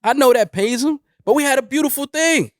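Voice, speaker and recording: A man's voice: very high voice, brisk, dry recording. Male co-host, stuffy nasal voice from a cold, playful teasing delivery, dry close mic. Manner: playful, teasing, loud bursts when joking. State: congested, a little nasal from a cold. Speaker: podcast co-host. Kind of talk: casual podcast chat.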